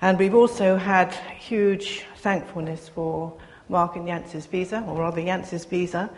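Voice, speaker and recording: An older woman giving a soft-spoken, reflective personal sermon to her congregation, pausing often as she speaks. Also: fairly low pitch, fairly even intonation, a little hiss or hum. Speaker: preacher.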